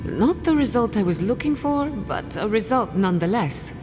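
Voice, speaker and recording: A woman's voice: a little high, big pitch swings, moderate pace. A woman playing a confident, wry, adventurous scholar and guide in a video game, explaining things articulately. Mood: intrigued. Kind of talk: scripted video game acting. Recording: reverberant hall under loud music.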